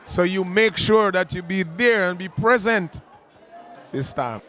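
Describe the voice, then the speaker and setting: A man's voice: sits high, expressive, unhurried, fairly bright tone. A man speaking earnestly and emphatically in a casual interview at a launch event. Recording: music and crowd noise behind.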